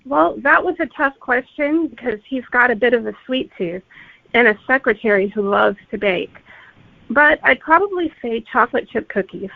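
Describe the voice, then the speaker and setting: A woman's voice: on the high side, expressive, normal speed, gravelly, dry recording. A woman answering interview questions as a guest author. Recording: narrow phone-like audio.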